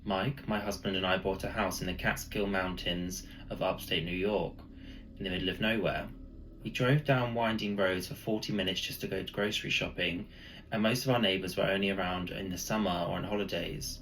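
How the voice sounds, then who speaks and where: A man with a gravelly voice reading a spooky first-person story as a storyteller. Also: low voice, steady tone, fairly quick, fairly bright tone, slight background noise, low-quality recording. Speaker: storyteller, channel host.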